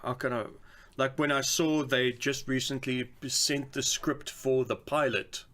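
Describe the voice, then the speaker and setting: A man's voice: medium pitch, steady tone, measured pace, a bit bright. A man talking informally as co-host of a podcast about films and games.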